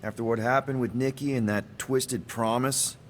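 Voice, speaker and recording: An actor playing an earnest, laid-back young leader of a space-hero team in a video game, speaking casually. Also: male voice, mid-range voice, steady tone, normal speed, a little hiss or hum, lightly acted. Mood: concerned.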